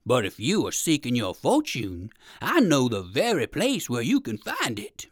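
A woman voicing a sly, hungry big bear in a children's storybook, coaxing with quiet menace. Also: a bass voice, wildly swooping pitch, husky, no echo, studio quality, voice pushed down, full character voice. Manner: slow, coaxing, menacing salesman.

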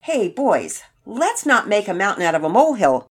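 Kindly dad in a children's story, voiced by a woman: gentle, reasoning, humble, lively intonation. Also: mid-range voice, moderate pace, husky, voice pushed up. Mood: placating. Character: patient, kindly father, humble peacemaker.

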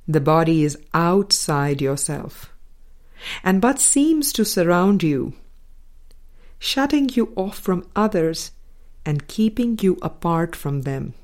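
A woman reading a spiritual book aloud as scripted narration, in measured, deliberate phrases with pauses. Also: fairly low pitch, a little mellow. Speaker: audiobook reader.

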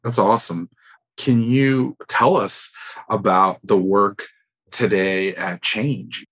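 Husky-voiced man hosting a podcast interview. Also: on the low side, slow pace, fairly bright tone. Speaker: podcast host.

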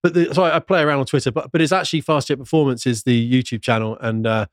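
Bloke as a podcast guest, talks really fast, no room echo, chatty. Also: male voice, mid-range voice, steady tone, clean studio sound. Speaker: podcast guest, flying instructor. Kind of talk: casual panel chat.

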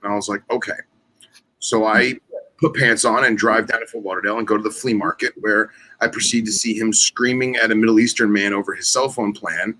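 A man co-hosting a casual podcast, telling anecdotes in a teasing, animated way. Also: fairly low pitch, fairly quick, low-quality recording. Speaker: podcast co-host.

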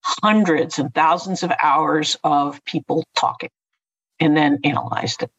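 A man's voice: fairly high pitch, steady tone, measured pace, a bit bright, slightly rough, dry recording, lo-fi sound. An older man from Philadelphia with a slight Philadelphia accent, chatting casually and laughing often in a panel discussion.

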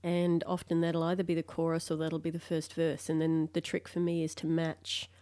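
A woman's voice: sits low, monotone, a little fast, warm tone, no echo. An Australian woman co-hosting a casual interview, easygoing and anecdotal. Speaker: co-host.

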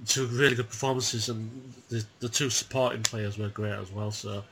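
A man's voice: lowish voice, little pitch movement, normal speed, fairly bright tone, slight background noise. A man hosting a film podcast, chatting casually about a short film with fillers and restarts. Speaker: podcast host.

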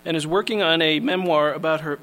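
A man's voice: fairly high pitch, fairly even intonation, a bit bright. A man hosting a literary reading, introducing the next writer with frequent ums and restarts. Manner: halting, lots of fillers. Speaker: host of a reading series. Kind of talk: introducing readers.

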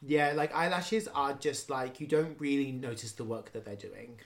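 A man's voice: medium pitch, moderate pace. A man of about thirty in a casual chat, wry and emphatic, repeating himself as he thinks aloud.

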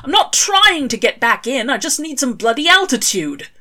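A woman's voice: high voice, sing-song, normal speed, pushed and bright, voice pushed up, full character voice. A woman voicing an irritable, sardonic male demon serpent in a story reading, grumbling and exclaiming. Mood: exasperated, snappish.

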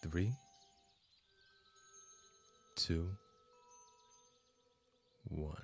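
A man narrating a scripted guided meditation as its host, deliberate and soothing, leaving long pauses between short phrases. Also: rumbling low, steady tone, soft background music.

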